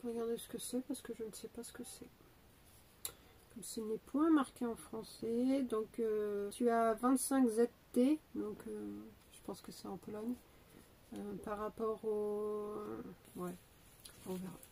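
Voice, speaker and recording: Woman, young adult, stuffy from a cold, slow pace, fairly high voice, hesitant casual chat. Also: steady tone, mellow, breathy edge. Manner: chatty, hesitant, many fillers and restarts. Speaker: beauty vlogger unboxing an advent calendar. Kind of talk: casual unboxing commentary.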